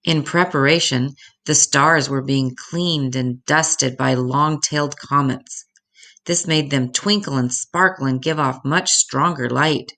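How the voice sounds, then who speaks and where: Low, creaky-voiced woman storyteller. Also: fairly even intonation, measured pace, a bit bright. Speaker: children's storyteller. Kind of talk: expressive storybook narration.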